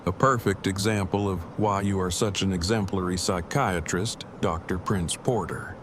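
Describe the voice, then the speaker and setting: An actor playing Eugene, a verbose, bookish and affectionate apocalypse survivor, speaking formally and precisely in an audio drama. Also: male voice, deep voice, steady tone, moderate pace, close to an everyday voice. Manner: formal, wordy, precise diction. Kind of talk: fan audio drama acting. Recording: clearly noisy, with ambience behind.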